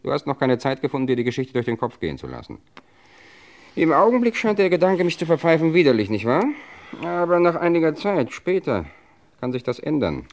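An actor playing a cold, menacing gangster boss with a suave, domineering manner, in an old German crime radio play. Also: male voice, fairly high pitch, big pitch swings, fairly quick. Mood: quietly menacing.

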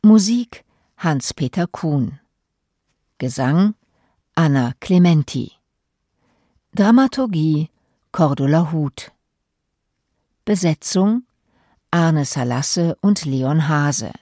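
A woman's voice: low voice, expressive, slow pace, pauses often, warm tone, dry recording, clean studio sound. A woman reading a scripted credits announcement as a radio announcer.

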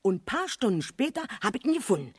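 An actress playing an excitable, talkative neighbourhood witness with a Berlin accent in a 1970s German radio crime drama. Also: female voice, medium pitch, quick pace, slight background noise, natural voice. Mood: puzzled, chatty. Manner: colloquial, garrulous, emphatic. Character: chatty, excitable female neighbourhood witness, eager amateur sleuth.

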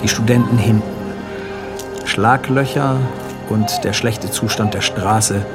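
A man's voice: on the low side, fairly even intonation, slow, over loud music. A man narrating radio drama scenes from a script in a terse, matter-of-fact way.

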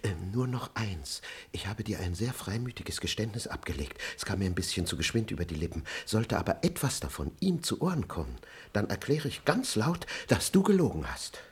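Casting: a garrulous, indignant servant of a wicked lord for stage-style radio drama; very low, rough-edged voice, theatrical and confiding. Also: male voice, very animated, fairly quick, exaggerated voice. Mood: hurried, warning. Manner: theatrical, emphatic, confiding and pompous. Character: talkative, indignant, cowardly manservant of a wicked lord. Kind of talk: classic comedy radio play acting.

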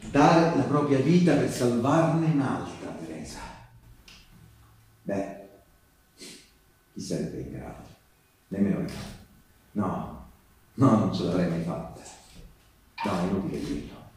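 A man playing a storyteller of partisan war tales, delivering dramatic theatrical narration with emphatic repetitions and pauses. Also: low-pitched, lively intonation, slight background noise. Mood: intimate, subdued. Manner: emphatic repetitions, swinging from urgent cries to hushed pauses. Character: man telling partisan war stories to Teresa, intimate and grave. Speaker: stage actor and storyteller. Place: theatre-like echoing space with live musical accompaniment. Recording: echoey live stage, distant mic, music behind.

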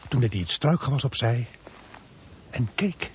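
A male actor plays an earnest protagonist who narrates a radio thriller. His mid-pitched voice moves expressively up and down while keeping a measured, suspenseful storytelling manner. There is faint noise behind him. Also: animated, close to an everyday voice. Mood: suspenseful narrating. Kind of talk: dramatic first-person radio play narration.

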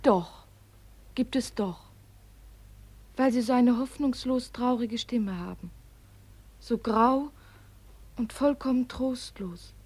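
A woman's voice: a little high, takes it slowly, pauses often, darkish tone, husky. An actress in a radio play as a kind young woman, coaxing, playful and insistent. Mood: teasing, tender. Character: kind, teasing young woman, lively and forthright. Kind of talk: German radio play acting.

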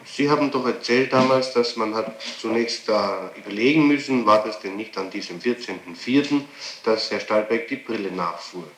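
A man's voice: fairly low pitch, moderate pace, a bit bright. A man conducting a formal interrogation, pressing his questions persistently. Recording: echoey archival interrogation tape, faint hiss.

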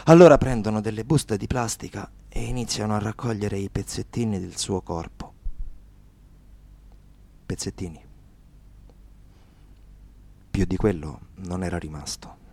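A man narrating a radio theatre piece with dramatic delivery, moving between hushed and emphatic phrases. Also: on the low side, a little slow, vocal fry, pauses often, husky.

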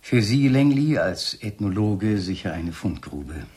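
An actor playing a suavely sinister husband in a radio drama, with mannered courtesy and a falsely tender, condescending tone. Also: male voice, lowish voice, big pitch swings, unhurried, dark tone. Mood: suave, mocking. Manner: mannered courtesy, cooing endearments. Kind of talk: 1970s German radio drama acting. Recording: 1970s radio recording with faint noise.

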